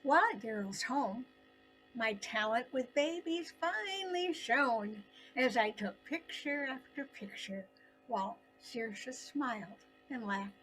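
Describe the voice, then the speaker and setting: Older female storyteller, slow and deliberate, expressive delivery with dramatic pauses, high register. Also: faint music behind, rough recording. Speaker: storyteller narrating her own story. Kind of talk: scripted personal story narration.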